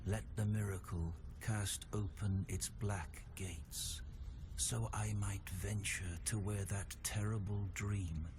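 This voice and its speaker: A sorrowful old undead confessor from a dark fantasy game. His very low voice moves slowly and gravely through archaic phrases. Clear ambient noise sits behind him. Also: steady tone. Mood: weary, solemn. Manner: grave, archaic, drawn-out and deliberate.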